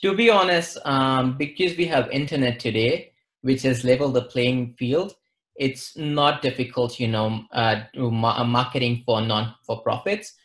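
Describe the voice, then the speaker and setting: A young man from western India with an Indian accent, explaining things in a measured, matter-of-fact way as an interview guest. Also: medium pitch, fairly bright tone. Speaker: interview guest, marketing advisor. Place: plain-walled room, indoors.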